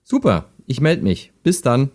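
Guy acting calm lonely chat buddy in an audio drama, soft mellow tone, helpful and earnest. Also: medium pitch, a little slow. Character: calm, helpful, lonely man chatting every evening. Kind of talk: amateur audio drama dialogue, read chat.

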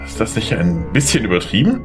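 A man's voice: on the low side, wildly swooping pitch, fairly quick, faint music behind. A man playing a bewildered, anxious lawyer who doubts his own mind, in a questioning, unsettled way, in an audio drama. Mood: bewildered, urgent. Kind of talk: German audio drama acting.

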